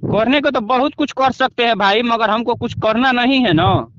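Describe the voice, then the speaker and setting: A man's voice: very high-pitched, normal speed, a bit bright, no echo. A man from Bihar with a Bhojpuri accent, arguing and scolding as a reporter in a confrontational phone interview. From Buxar, Bihar, India. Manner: argumentative, scolding. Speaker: news reporter. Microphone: phone call. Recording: dull, dry recorded phone call.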